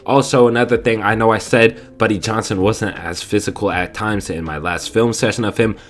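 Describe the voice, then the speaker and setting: A man's voice: lowish voice, steady tone, moderate pace. A young man with a stuffy nose giving casual, enthusiastic film breakdown commentary, often asking "right?". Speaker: fan video host.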